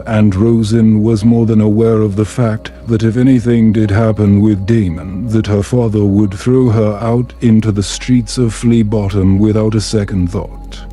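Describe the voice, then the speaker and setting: A man reading a scripted bedtime story in a calming, soothing, measured way. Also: lowish voice. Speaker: storyteller, narrator.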